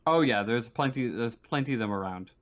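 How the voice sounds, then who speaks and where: Halting man running a tabletop roleplaying game. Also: mid-range voice, lively intonation. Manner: halting, repeats phrase starts. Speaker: game master.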